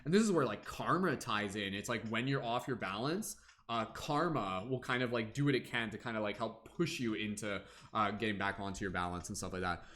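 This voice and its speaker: A man's voice: fairly low pitch, fairly quick, a bit bright. A young man hosting a casual tarot livestream, rambling with many fillers and humming to himself between comments.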